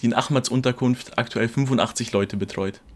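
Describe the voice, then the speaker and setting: A man's voice: mid-range voice, fairly even intonation, fairly quick. A man reading scripted narration as the reporter of a news feature.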